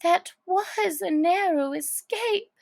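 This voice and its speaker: A woman's voice: very high-pitched, slow pace, gravelly, darkish tone, no room sound, clean studio sound, pitched above the performer's own voice, exaggerated voice. A woman voicing a distressed young girl in a children's storybook, with an exaggerated, plaintive delivery. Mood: surprised. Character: Alice, distressed young girl, dramatic and tearful. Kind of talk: children's storybook character acting.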